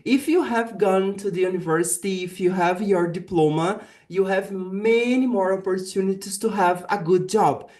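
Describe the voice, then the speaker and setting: A Brazilian woman whose first language is Portuguese speaks as a guest presenter and teacher. Her voice sits at a medium pitch. She delivers a prepared talk earnestly and deliberately, stressing key phrases with a Brazilian accent. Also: darkish tone. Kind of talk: prepared virtual summit presentation.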